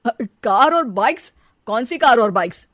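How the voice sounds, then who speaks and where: A woman's voice: on the high side, expressive, moderate pace, fairly bright tone, no room sound, studio quality. A woman voicing a boastful, fibbing young man in a comic story, making glib, stammering excuses without shame. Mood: evasive. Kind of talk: comic Hindi audio story dialogue.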